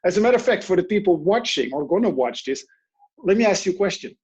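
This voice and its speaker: A man's voice: high-pitched, fast talker, slightly rough, highs cut off. A man from the Netherlands with a Dutch accent, a coach talking in an online interview and acting out inner voices as he tells stories. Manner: animated, storytelling with quoted inner voices. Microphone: video call.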